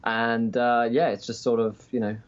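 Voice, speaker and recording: A man's voice: mid-range voice, flat delivery, normal speed, smooth. A man with an English accent chatting casually as a podcast guest over a remote call. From England, just outside London. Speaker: podcast guest, Twitch D&D streamer. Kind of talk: casual interview chat. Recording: dull lo-fi call audio.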